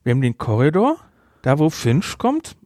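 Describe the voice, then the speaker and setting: A man in a casual, emphatic podcast chat about films. His pitch swings widely as he talks, and he often repeats words for effect. The sound is dry, with no room around it. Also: huge pitch swings, normal speed, dark tone, no room sound. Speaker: podcast co-host. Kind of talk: casual film review chat.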